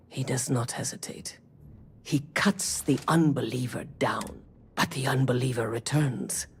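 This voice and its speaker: An actor playing a fanatical serpent-cult prisoner in a video game, reciting prophecy in a solemn, cryptic, breathy manner. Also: male voice, mid-range voice, a little mellow, a little hiss or hum. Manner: slow, solemn, cryptic preaching. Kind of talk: video game dramatic monologue.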